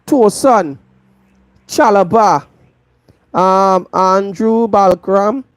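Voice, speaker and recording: Trinidadian woman, football vlog host, unhurried and emphatic, dry close sound. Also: mid-range voice, no echo. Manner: emphatic, repeats points for stress. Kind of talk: live football match review.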